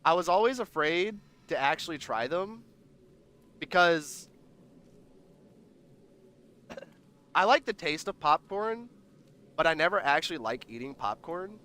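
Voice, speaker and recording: A man's voice: on the high side, lively intonation, measured pace, long pauses, bright, forceful tone. A man hosting a livestream, chatting casually and rambling while reading the chat aloud, congested and nasal. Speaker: livestream host.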